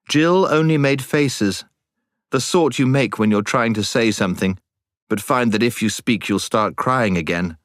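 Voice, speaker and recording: A British man narrating a storybook as a scripted audiobook, in an expressive storytelling manner. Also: medium pitch, a little slow, no room sound, studio quality.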